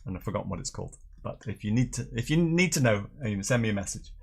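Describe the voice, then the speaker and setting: A man's voice: lowish voice, expressive, quick pace, creaky, breathy edge. A middle-aged man, chatting casually and jokily as he hosts a live tasting show and reads out viewer comments. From Northern Ireland and England. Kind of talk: casual livestream chat, reading out viewer comments.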